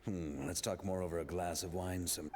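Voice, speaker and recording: A man playing a breezy, wry knight commander in a game, reading out his letter in a relaxed way, with long reverb. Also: low voice, moderate pace. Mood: wry, friendly.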